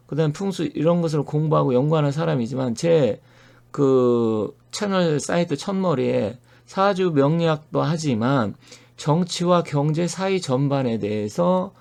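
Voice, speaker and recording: A middle-aged man talks straight to his viewers in a gravelly, dark, mellow voice. He explains and argues earnestly, stopping often to gather his thoughts. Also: a little high. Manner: earnest, opinionated, explanatory, with frequent pauses and fillers. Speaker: fortune-telling channel host. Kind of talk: solo monologue to camera.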